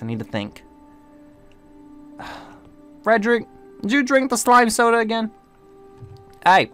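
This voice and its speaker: A man's voice: high voice, fairly bright tone. A man playing a bitter, proud, suspicious warlock leader in an amateur game roleplay, brooding dramatically and snapping back with sassy slang.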